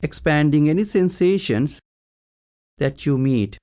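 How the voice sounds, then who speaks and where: A man leading a guided meditation as a teacher, speaking in short, calm instructional phrases with long pauses. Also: on the high side.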